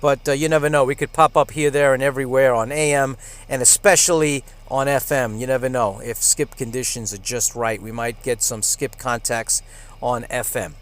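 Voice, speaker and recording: A man hosting a radio channel, announcing news to listeners in an emphatic, showy announcer delivery with drawn-out phrases. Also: medium pitch, fairly even intonation, a bit bright. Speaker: radio host. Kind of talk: station announcement talk to listeners.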